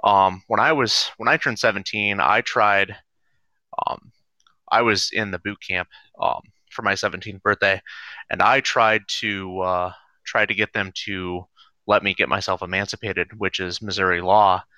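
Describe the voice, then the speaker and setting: A man in his twenties tells his story in a halting way, full of fillers. His voice is low yet bright and forceful. The call audio is dry and dull. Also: steady tone, no room sound. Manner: halting, many fillers and restarts. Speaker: former student guest. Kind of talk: casual personal account.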